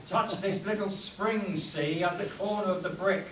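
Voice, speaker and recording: A man's voice: high-pitched, steady tone, measured pace. A man playing a commanding adventurer hero in a spoof radio play performed live. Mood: explaining, assured. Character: commanding adventurer hero Doc, leading his men. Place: live stage performance at a convention. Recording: echoey convention room, distant mic, audience noise.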